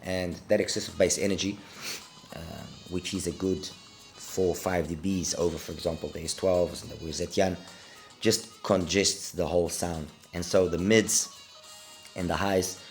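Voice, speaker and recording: A middle-aged man, congested with a cold, giving a casual, hesitant product review and repeating words for emphasis. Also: deep voice. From Portugal. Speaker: audio gear reviewer.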